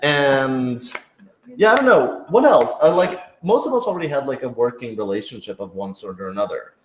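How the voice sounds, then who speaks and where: A man's voice: medium pitch, sing-song, moderate pace, a little hiss or hum. A man chatting casually and unscripted as a writer-director answering questions, informal, hedging and self-deprecating. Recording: roomy, echoing room sound.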